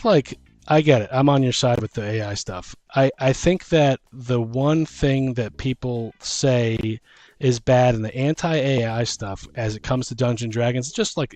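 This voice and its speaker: A man's voice: mid-range voice, darkish tone, dry recording. A man in a casual podcast discussion, talking informally and arguing his point through hypothetical examples.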